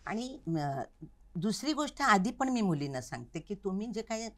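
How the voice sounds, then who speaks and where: A woman's voice: mid-range voice, very animated, moderate pace, fairly bright tone. An older woman, talking reflectively as an interview guest in an earnest, instructive manner, stressing her key points. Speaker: interview guest, Kathak dance teacher. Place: recording studio.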